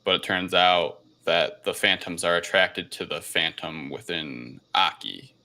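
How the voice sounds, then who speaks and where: A man with a very low voice speaks as a podcast host giving a casual film review. His delivery has plenty of vocal fry and a bright, forceful edge. The wording is informal and colloquial throughout. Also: fairly even intonation, unhurried.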